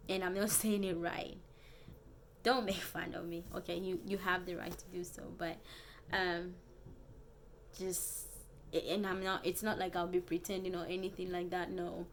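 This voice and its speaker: A young woman from Africa with an African accent, a native Bemba speaker, chatting casually and earnestly to viewers in a vlog, haltingly and often repeating phrases. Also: fairly low pitch, flat delivery, slight background noise. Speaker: vlogger. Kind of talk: casual personal vlog chat.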